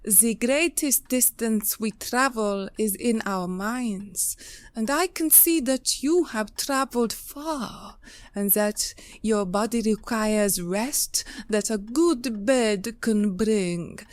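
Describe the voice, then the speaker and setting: Slow, hypnotic fairy temptress, acted by a woman. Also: a little high, dry recording. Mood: seductive, solemn. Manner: slow, deliberate, hypnotic, enticing. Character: mysterious seductive fairy temptress from a foreign land. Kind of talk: audio play acting.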